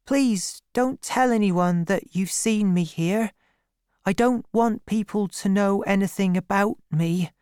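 A woman voicing a shy, secretive young village girl with a soft voice in a storybook reading. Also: mid-range voice, slow pace, clean tone, no echo, studio quality, voice pushed up, close to an everyday voice.

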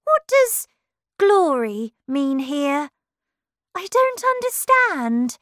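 A woman's voice: sits high, very animated, extremely slow, a bit bright, no room sound, studio quality, voice pushed up, exaggerated voice. A woman voicing a polite, curious young girl in a storybook, with an earnest, questioning manner. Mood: puzzled, curious.

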